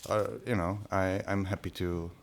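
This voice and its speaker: Hungarian-native man, low creaky voice, halting podcast chatter. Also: little pitch movement. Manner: hesitant, many restarts and fillers. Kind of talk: casual conversation.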